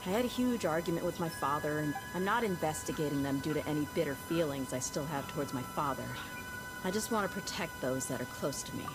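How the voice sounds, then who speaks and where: A woman playing a loyal, frank young desert mercenary in a video game, with loud music behind her. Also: low-pitched, animated, fast, low-quality recording. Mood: serious, resolute.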